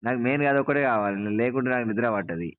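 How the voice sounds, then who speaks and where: Man, Telangana Telugu accent, helpline caller, fast talker, clear smooth voice, plaintive, insistent, phone audio. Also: medium pitch, animated, no echo. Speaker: customer calling a helpline. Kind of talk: casual phone complaint. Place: on a phone line. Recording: tinny, narrow phone call recording.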